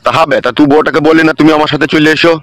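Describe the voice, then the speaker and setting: A man's voice: on the high side, little pitch movement, racing, no room sound, soft background music, lightly acted. A man voicing an irritable, foul-mouthed older cartoon father with a blunt, scolding manner. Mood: nosy. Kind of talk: crude comedy cartoon dubbing.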